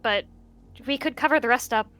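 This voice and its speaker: A woman's voice: a little high, fairly quick, fairly bright tone, pitched above the performer's own voice, natural voice. A woman as a shaky, anxious she-cat. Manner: shaky, hesitant. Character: anxious, devoted young she-cat warrior.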